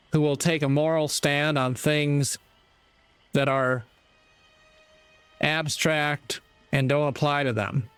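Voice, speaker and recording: A man delivers a reflective moral monologue at a slow, measured pace. His voice is clean and resonant, and he breaks his thoughts into short, deliberate phrases. Soft music sits faintly underneath. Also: fairly high pitch, clear, resonant tone, no room sound. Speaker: commentator giving a moral talk.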